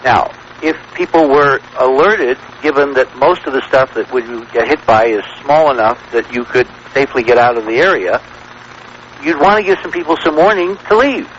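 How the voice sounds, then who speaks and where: Male talk-radio guest, middle pitch, emphatic and wordy storyteller, dull vintage broadcast with light noise. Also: moderate pace, a bit bright.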